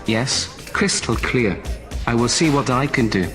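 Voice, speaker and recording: A testy underworld fence from a science-fiction drama, acted by a man. He is sarcastic and grumbling, with an ordinary male pitch, and music plays clearly behind him. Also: a little slow, close to an everyday voice. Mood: grudging, uneasy. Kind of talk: science-fiction film dialogue.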